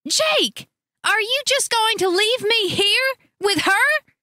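A woman doing a shrill, outraged grown woman. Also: very animated, very slow, no echo, studio quality, heavily acted. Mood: wailing. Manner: sharp, demanding, raises her voice. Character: angry, jealous grown woman, demanding and shrill.

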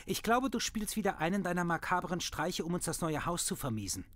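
A man's voice: high-pitched, big pitch swings, brisk, fairly bright tone. An actor playing a middle-aged, irritable, sarcastic father in a horror radio play, with a blustering, scolding manner. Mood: angry, stern. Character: gruff, sarcastic, irritable father who drinks. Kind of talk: German horror audio drama acting.

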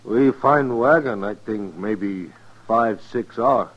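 A man's voice: lowish voice, takes it slowly. A man playing a grim tracker scout who speaks few words in clipped broken English, in a 1950s radio western. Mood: terse. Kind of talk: 1950s radio drama acting. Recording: muffled, phone-like 1950s radio recording.

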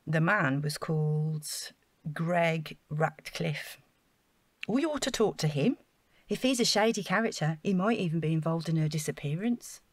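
Naive earnest office worker, lowish voice, flustered and chatty, audio drama, dry close sound. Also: female voice, lively intonation, unhurried, dry recording. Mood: eager, naive. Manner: flustered, chatty, over-explaining. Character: earnest, naive female council housing officer.

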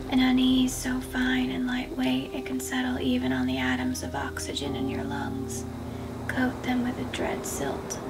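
Whispery, slow young woman reading. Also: high voice, recorded from a distance. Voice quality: breathy, whispery. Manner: hushed, slow, deliberate. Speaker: reader of a book excerpt. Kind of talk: intimate literary reading. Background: music.

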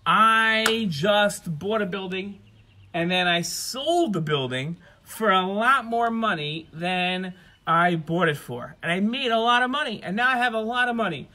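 A man voicing a proud, generous rich donor in a story, with emphatic, drawn-out, repetitive phrasing. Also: high voice, bright, forceful tone, pitched above the performer's own voice, heavily acted. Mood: eager, generous.

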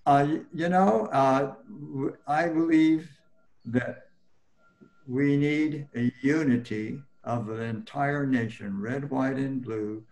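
An older man remembering his life as a guest on a video call, talking haltingly with many fillers and restarts. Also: mid-range voice, darkish tone, low-quality recording. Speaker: panel guest. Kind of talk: personal recollection in an interview. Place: home room, indoors.

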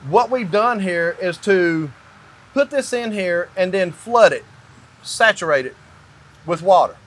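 A man's voice: high voice, lively intonation, measured pace. A man in his fifties giving a hands-on explanation as a builder, making his points emphatically, step by step. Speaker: company owner explaining construction. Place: indoors in a metal-walled barn or shop.

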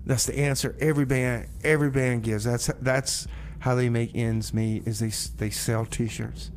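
A man's voice: mid-range voice, steady tone, normal speed, slight background noise. Rambling, chuckling man radio DJ. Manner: rambling, stammering, laughing. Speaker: community radio DJ. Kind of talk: casual radio patter. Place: small radio studio.

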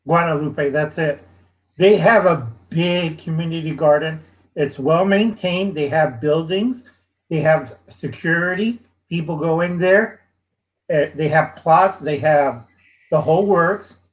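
Hoarse middle-aged man on a headset. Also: on the high side, unhurried, poor audio. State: losing his voice. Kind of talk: casual video call chat. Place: indoors, home. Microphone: headset on video call.

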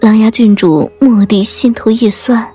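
A woman's voice: fairly high pitch, steady tone, unhurried, mellow, slightly rough, soft background music. A woman giving a scripted, dramatic reading of an audiobook. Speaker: audiobook performer.

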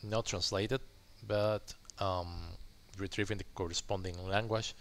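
Low-voiced man, slow halting delivery. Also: fairly even intonation. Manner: halting, pauses mid-sentence, checks with "right?". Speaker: software developer, tutorial host. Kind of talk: informal live coding walkthrough, thinking aloud. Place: indoors at a computer, screen recording voice-over. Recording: faint background noise.

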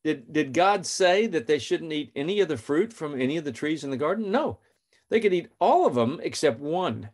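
A man's voice: a little high, sing-song, moderate pace, a little mellow. A man in his fifties hosting a faith podcast, telling a story and reading scripture in an earnest, plain way. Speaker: podcast host.

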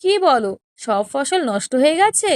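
A woman voices a haughty younger wife in a cartoon melodrama. She speaks very high, scolding and indignant, with selfish scorn for those around her. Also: sing-song, moderate pace, a little mellow, no echo. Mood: dismayed, demanding. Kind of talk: melodramatic cartoon story dialogue.

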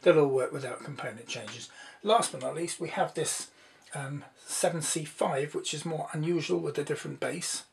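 A man's voice: a little high, moderate pace, vocal fry. A man giving an informal, rambling and slightly hesitant demonstration as a hobbyist presenter. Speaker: presenter, hobbyist radio builder.

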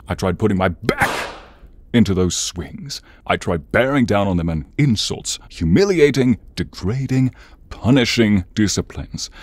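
A man playing an exasperated, menacing horned demon in an ASMR roleplay, theatrical and grumbling, with a husky, breathy voice. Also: fairly low pitch, a little mellow, dry recording.

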